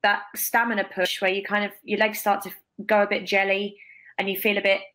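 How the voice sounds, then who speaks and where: A young woman, a guest telling emphatic, expressive stories over a video call from a bright room. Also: mid-range voice, fairly quick. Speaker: guest, stage performer. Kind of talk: casual interview.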